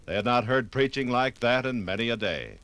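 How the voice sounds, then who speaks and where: Animated mid-pitched male radio narrator. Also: brisk. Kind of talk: 1950s radio documentary narration, scripted.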